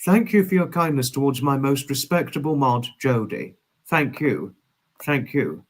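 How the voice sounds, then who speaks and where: This is a man in his twenties hosting a casual livestream. He greets and answers viewers with effusive, old-fashioned politeness. His phrasing is wordy and formal, and he tends to circle back and repeat what he has just said. His pitch sits in an ordinary middle range for a man. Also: expressive, measured pace. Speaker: livestream host.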